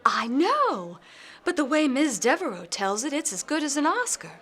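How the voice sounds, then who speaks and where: A chatty gossip from a forties noir radio drama, played by a woman. Her delivery is breezy, with lively rises and falls in pitch. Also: moderate pace. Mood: gossipy, casual. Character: chatty, gossipy 1940s female informant. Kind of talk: film noir radio play acting.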